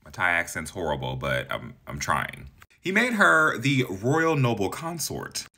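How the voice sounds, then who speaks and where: A man's voice: low-pitched, sing-song, a little slow, pushed and bright, breathy edge. A man in his thirties casually explaining facts in a vlog, chatty and dramatic, with gossipy asides. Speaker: video creator. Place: green-screen setup, indoors.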